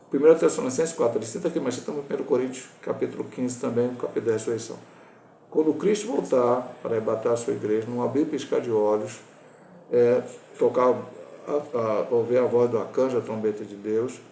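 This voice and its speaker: A Brazilian man in his sixties, a pastor teaching a Bible lesson, talking in a halting way with restarts and self-corrections. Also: mid-range voice, vocal fry, dark tone, roomy sound, slight background noise. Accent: Brazilian Portuguese. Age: 60s.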